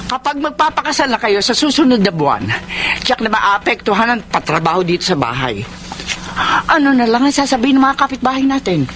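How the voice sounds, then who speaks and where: A demanding, resentful middle-aged mother in a radio drama. Her voice is high and cutting, confrontational in every question. There is a faint noise behind her. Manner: accusing, confrontational, sharp rhetorical questions. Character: bitter, demanding mother who guilt-trips and resents. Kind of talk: radio drama acting in Filipino.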